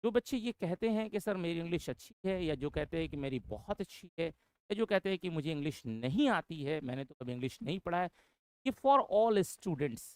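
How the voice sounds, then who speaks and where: A man's voice: on the high side, animated, normal speed, clear, resonant tone, no echo. Man teaching, dry close sound. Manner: repeats points, addresses students as brother. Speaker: English teacher. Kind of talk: course introduction lecture.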